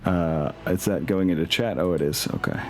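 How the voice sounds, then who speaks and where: Low voiced guy being game master, casual gamer talk, music going behind him. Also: moderate pace. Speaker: game master of a tabletop mech campaign. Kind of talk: casual table talk with some narration.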